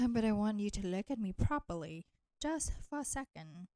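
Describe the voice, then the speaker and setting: A woman's voice: mid-range voice, big pitch swings, darkish tone, dry recording, clean studio sound, lightly acted. A woman voicing a flirty, nurturing vampire in an intimate roleplay audio drama, teasing and coaxing the listener. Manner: slow, teasing, coaxing, addressing listener with pet names. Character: flirty, teasing, nurturing female vampire, ancient enemy turned caretaker.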